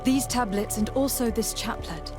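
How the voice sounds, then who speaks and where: A woman's voice: a little high, normal speed, lightly acted. An actress playing a composed, diplomatic noblewoman envoy in a fantasy video game. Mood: measured, explanatory. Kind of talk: scripted fantasy game dialogue. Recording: game music behind the voice. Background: game music.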